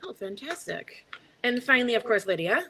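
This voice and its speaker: Middle-aged woman, fairly high bright voice, playful, exclamatory, game show banter. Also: big pitch swings, normal speed, slightly rough. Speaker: dating game contestant.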